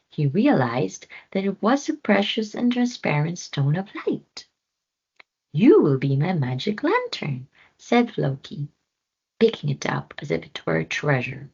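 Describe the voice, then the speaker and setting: Storytime reader, woman, low and husky, unhurried. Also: lo-fi sound. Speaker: read-aloud storyteller. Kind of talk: children's storybook reading.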